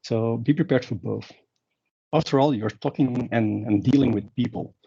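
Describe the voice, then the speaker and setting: A man's voice: medium pitch, moderate pace, vocal fry, dark tone, breathy edge. Man rambling through a conference talk. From Belgium. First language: Dutch. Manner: rambling, lots of fillers and restarts. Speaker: conference speaker. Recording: dull lo-fi sound.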